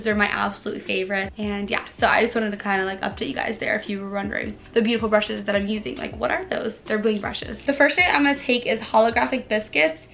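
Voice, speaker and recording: A young woman with a slightly higher voice talks fast and bubbly, her voice a little hoarse from allergies. She chats casually to her viewers, with plenty of "like" fillers as she works through her makeup. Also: fairly bright tone. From United States. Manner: bubbly, chatty, many "like" fillers. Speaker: beauty vlogger. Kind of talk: casual makeup tutorial chat.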